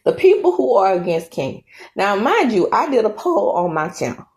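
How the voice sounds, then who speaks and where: A woman's voice: medium pitch, very animated, normal speed, a little mellow. A woman hosting her own commentary channel, giving her opinions in a chatty, emphatic way and tagging her points with "okay?".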